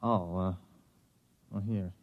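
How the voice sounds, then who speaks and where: A man's voice: low-pitched, steady tone, slow pace. A man playing a world-weary young flight officer in a vintage radio drama, plain-spoken and wary. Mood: puzzled, wary. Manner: plain-spoken, terse, hardboiled. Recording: dull lo-fi old radio recording.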